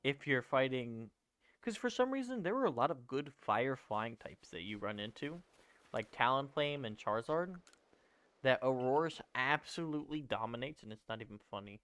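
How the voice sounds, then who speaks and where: A man's voice: mid-range voice, animated, normal speed, a bit bright. A twenty-year-old man casually chatting while streaming a game, rambling and answering viewers as he plays. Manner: rambling, self-correcting, answering chat. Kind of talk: casual gaming livestream chat.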